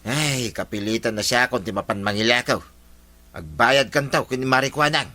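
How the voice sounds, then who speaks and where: A man's voice: mid-range voice, normal speed, pushed and bright, natural voice. A man playing a nagging, reproachful village man in a radio drama.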